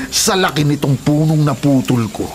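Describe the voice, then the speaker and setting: An actor playing a brazen, vengeful illegal logger, blustering and quick-tempered, in a radio drama. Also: male voice, a little high, sing-song, heavy background noise. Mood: gloating. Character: brazen, vengeful male illegal logger, hot-headed villain. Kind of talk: Tagalog radio drama acting. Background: dramatic background music.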